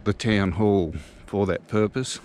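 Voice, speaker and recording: A man giving a relaxed, casual walking tour outdoors, thinking aloud as he goes. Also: lowish voice, unhurried, slight background noise. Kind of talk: casual walk-and-talk narration. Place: outdoors walking through a small country town.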